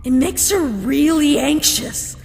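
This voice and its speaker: High, gravelly, brash monster warrior woman acting. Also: expressive, takes it slowly, long reverb tail, a little hiss or hum, heavily acted. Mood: worried. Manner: forceful, blunt. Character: brash, passionate, impatient female fish-creature warrior. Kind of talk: fan comic dub voice acting.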